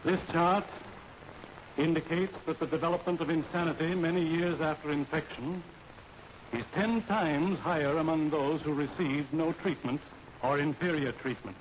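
A man with an American accent lecturing in a formal, authoritative way as an army medical officer in a 1940s training film. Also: on the high side. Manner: formal, authoritative, deliberate instruction. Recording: dull, noisy 1940s film soundtrack.

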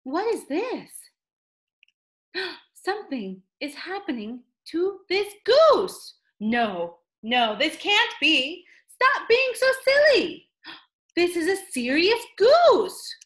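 Woman, high-pitched voice, very slow delivery, children's read-aloud, theatrical emphasis. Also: big pitch swings, fairly bright tone. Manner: theatrical, dramatic emphasis, addressing a child listener. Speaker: storyteller reading a picture book aloud.

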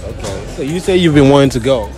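A man's voice: medium pitch, lively intonation, quick pace, a crowd in the background. A young Nigerian man running a casual street interview with a handheld phone, friendly and teasing, with an easy laugh. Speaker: street interviewer, video host. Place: large clothing store indoors, then outdoors by a wall. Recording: music playing behind.

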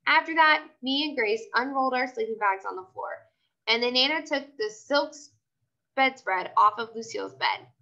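A woman reading a children's story aloud, expressively and in a childlike, animated manner. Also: high-pitched, normal speed, gravelly, lo-fi sound.

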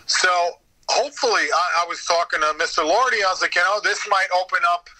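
A man with a fairly high, very bright and forceful voice talks casually as an interview guest. He tells stories in an animated way, acting out bits of conversation and swearing lightly. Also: a little fast, poor audio. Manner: animated storytelling, quoting exchanges, mild swearing. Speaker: podcast guest, voice actor.

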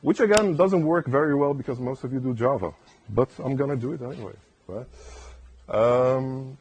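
A man giving a casual technical talk at a conference, rambling and tagging "right?" onto his points in a soft, soothing voice. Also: medium pitch, moderate pace, breathy edge. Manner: rambling, keeps asking "right?". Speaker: conference speaker. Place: lecture room.

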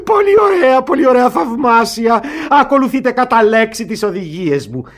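An actor playing an eccentric, self-important elderly English lord, fussy and excitable, in an old radio play. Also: male voice, extremely high pitch, full character voice. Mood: excited, conspiratorial. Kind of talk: old Greek radio theatre acting.

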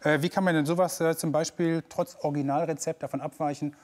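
A male host in his forties with a slightly high voice moderates a studio talk show, friendly and a little halting as he reads viewer questions. He is recorded close on a lapel mic. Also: steady tone, darkish tone. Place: TV studio set.

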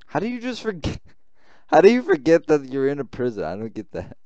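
A man doing a gaming let's play, reacting to the story out loud with exclamations and stumbling over words. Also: fairly high pitch, huge pitch swings, a little fast, dry recording, lo-fi sound. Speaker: gaming YouTuber. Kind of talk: let's play, reading dialogue aloud and reacting.

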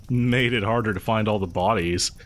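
A man's voice: lowish voice, unhurried, lightly acted. A man playing a friendly, awkward young local who shares grim rumors in a hesitant, rambling, conversational way for an audio horror roleplay. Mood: casual, darkly amused. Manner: hesitant, rambling, nervous chuckles.

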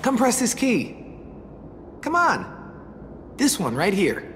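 Playful, theatrical teenage boy, unhurried. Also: very high-pitched, huge pitch swings, fairly bright tone, big-room sound, slight background noise, heavily acted. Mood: encouraging. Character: easygoing, joking teenage boy who plays piano. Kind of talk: video game voice acting.

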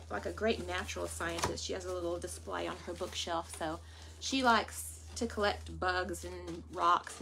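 A woman, chatting to viewers as she unboxes thrift finds in an indoor workroom. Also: fairly low pitch. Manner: chatty, addresses viewers as "y'all". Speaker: vlog host. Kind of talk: casual haul unboxing.

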